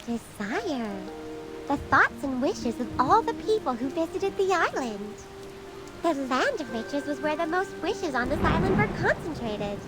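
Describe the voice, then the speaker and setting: A woman's voice: sits high, very animated, a little slow, over loud music. A woman voicing an earnest, brave young aspiring mage in a scripted video game. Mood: thoughtful.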